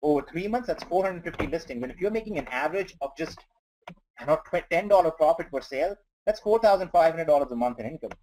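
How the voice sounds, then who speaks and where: A man's voice: fairly high pitch, expressive, fast, darkish tone, slight background noise, poor audio. A man from India, not a native English speaker, coaching listeners earnestly through numbers on a business webinar. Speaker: company trainer on webinar. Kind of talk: motivational webinar talk.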